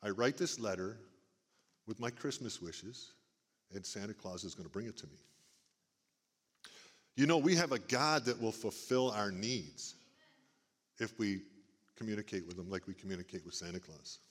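A middle-aged man with a big booming voice, speaking to a church congregation in a folksy preaching manner. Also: moderate pace, pauses often, slightly rough. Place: church sanctuary. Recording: long reverb of a church hall. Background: church hall.

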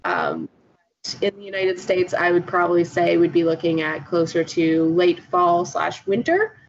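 A woman's voice: lowish voice, sing-song, a little slow. A woman with a Canadian accent speaking as a guest in a casual interview, chatty and explanatory. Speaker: interview guest, startup founder. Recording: dull lo-fi audio with clear background noise.

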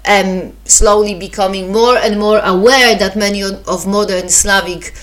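A woman's voice: mid-range voice, steady tone, moderate pace, fairly bright tone. A woman with a Polish accent, hosting her own podcast in a casual, hesitant way with many fillers and restarts. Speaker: podcast host. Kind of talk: casual solo podcast talk.

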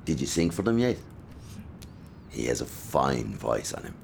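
A man playing a gravelly, bullying father. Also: extremely low pitch, huge pitch swings, unhurried, slight background noise, exaggerated voice. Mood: sneering. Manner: menacing, bullying, sneering. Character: domineering brutal father of a gang.

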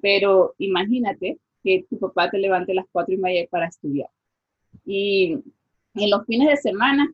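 A woman from Venezuela with a Venezuelan accent, a guest scientist talking casually in an interview and pausing with fillers. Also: medium pitch, slightly rough, dull audio. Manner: reflective, with many fillers like "este" and "o sea".

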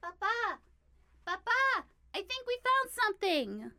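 A woman playing an excitable, eager little candy girl, a daughter, in an audio drama. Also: extremely high pitch, slow, no room sound, clean studio sound, heavily acted. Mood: excited, eager.

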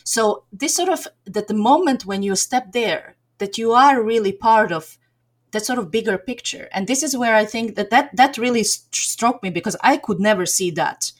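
A woman's voice: a little high, expressive, moderate pace. Woman, middle-aged, from Serbia, chatty, lots of fillers and restarts, casual interview talk. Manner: chatty, many fillers and restarts, laughs often. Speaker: game developer community organizer.

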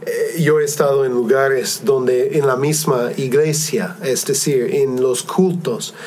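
A man hosting a discussion among missionaries, calmly explaining ideas and asking his guests questions. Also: mid-range voice, slow pace, slight background noise. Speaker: podcast host, missionary. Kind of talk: hosted discussion.

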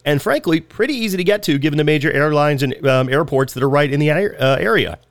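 Raspy podcast host with a head cold. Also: male voice, on the high side, lively intonation, quick pace, no echo. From Southern California. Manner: chatty, loose, frequent ums. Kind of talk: casual podcast intro and ad reads.

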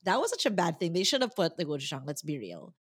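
A woman's voice: fairly low pitch, huge pitch swings, fast talker, husky. A woman co-hosting a podcast, chatting casually in a gushing way with lots of fillers. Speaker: podcast co-host. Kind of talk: casual chat.

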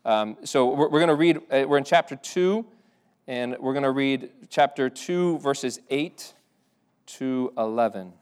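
Smooth-voiced pastor, relaxed and halting. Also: male voice, mid-range voice. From Ireland. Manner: conversational, many restarts and fillers. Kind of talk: sermon. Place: church sanctuary.

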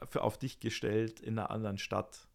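A young man co-hosting a casual podcast, relaxed and easygoing, with frequent fillers. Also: fairly low pitch, fairly quick, gravelly. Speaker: podcast co-host, jazz musician. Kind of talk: casual chat.

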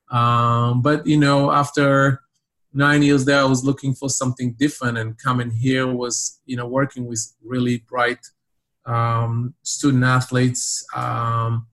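Middle-aged man from Israel, college coach, interviewed, thoughtful and halting. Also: mid-range voice. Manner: reflective, many fillers and restarts.

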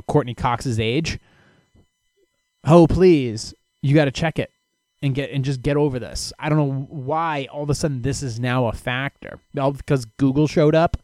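A man hosting a casual solo podcast, giving blunt, animated advice on listener questions, with frequent restarts and swearing. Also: medium pitch, dry recording.

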